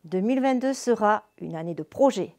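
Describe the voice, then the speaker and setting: Woman official, mellow measured formal address. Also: medium pitch, expressive. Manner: measured, formal read-out delivery. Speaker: local social service official giving New Year's wishes.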